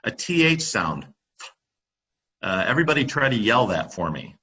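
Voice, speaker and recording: Husky man presenting, with lots of fillers. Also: mid-range voice, sing-song, bright, forceful tone, lo-fi sound. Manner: halting, many fillers and restarts. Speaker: company presenter on classroom audio. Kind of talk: webinar presentation. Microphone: computer microphone.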